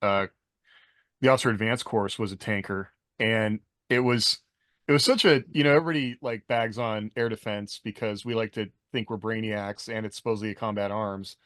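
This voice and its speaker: An American man, a podcast co-host, chatting casually and haltingly, often circling back over his phrases. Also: on the low side, fairly even intonation, a bit bright, no room sound, clean studio sound. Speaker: podcast co-host, former army officer. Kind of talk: casual chat.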